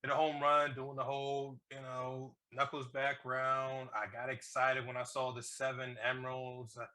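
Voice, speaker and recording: A man co-hosting a casual movie review, talking in an animated, slangy way with plenty of swearing. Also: mid-range voice, normal speed. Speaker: podcast co-host reviewing films.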